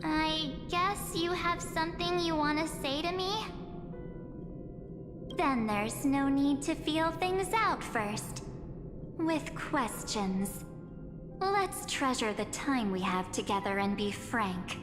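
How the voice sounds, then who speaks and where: A woman's voice: high voice, lively intonation, takes it slowly. An actress playing an uncanny memory spirit who poses as a perky girl in a video game, teasing, breezy and persuasive. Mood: sly, persuasive. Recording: long hall reverb, game music behind.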